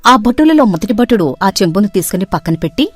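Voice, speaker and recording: A woman storyteller narrating a comic bedtime story in a steady, plain way. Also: mid-range voice, fairly quick, husky, faint music behind.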